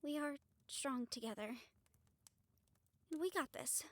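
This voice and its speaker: A woman's voice: high-pitched, takes it slowly, dry recording. A woman playing an anxious young magic user in an audio roleplay, nervous, questioning and emotional. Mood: resolved. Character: anxious young female magic user, unsure of her powers.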